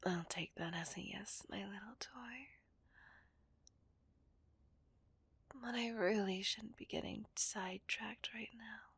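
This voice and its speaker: A woman playing a seductive, menacing villain kidnapper in a whispered ASMR roleplay, breathy, teasing and possessive. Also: mid-range voice, fairly even intonation, fairly bright tone, no echo, full character voice. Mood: smug, possessive. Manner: slow, teasing, dominant.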